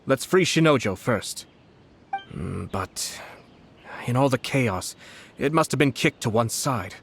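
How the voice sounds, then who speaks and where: An actor playing a composed noble official in a video game, speaking in a calm, measured, formal way. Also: male voice, medium pitch, vocal fry, a little hiss or hum, heavily acted.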